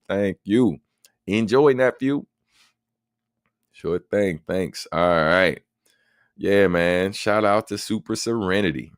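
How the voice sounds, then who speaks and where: A man with a very low, deep voice hosts a laid-back live Q&A, talking easily and at length with lots of "um" and "like". The sound is dry and close, with no room echo. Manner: relaxed, talkative, many fillers. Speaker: host. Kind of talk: casual livestream Q&A.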